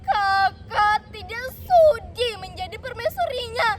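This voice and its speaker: Woman acting a tearful teenage girl, very high voice. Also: animated, slow pace, full character voice. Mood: anguished, tender. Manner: tearful, imploring, drawn out. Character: pleading, loving sixteen-year-old orphan girl. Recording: noisy, distant microphone.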